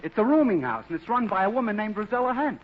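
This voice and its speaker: An actor playing a flirtatious working-class cab driver with slangy, folksy wisecracks in a vintage radio comedy. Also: male voice, high voice, big pitch swings, fast talker. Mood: chatty, admiring. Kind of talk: 1940s radio comedy acting. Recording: muffled, noisy old radio recording.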